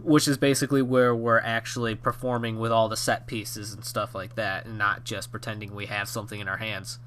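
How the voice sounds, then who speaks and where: A man of twenty telling a story from his own life in a rambling, casual way, slightly hoarse and nasal from a cold. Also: fairly low pitch, level tone, a little fast, fairly bright tone. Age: 20s. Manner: rambling with many fillers and restarts. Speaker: YouTube storyteller.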